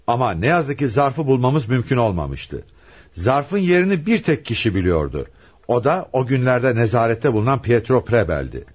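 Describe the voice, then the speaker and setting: Commanding, animated police commissioner. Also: male voice, mid-range voice, breathy edge. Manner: emphatic, dramatic, questioning delivery. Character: commanding male police homicide commissioner, probing and theatrical. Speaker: actor in a radio play. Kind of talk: Turkish radio theatre acting.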